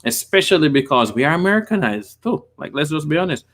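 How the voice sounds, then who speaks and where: A man in his forties from Jamaica, with a Jamaican accent, hosting a livestream from a home office, telling stories and reading viewer comments with animated gestures. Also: on the high side, fast. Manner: animated storytelling with hand gestures. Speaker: livestream host. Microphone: desk microphone with pop filter.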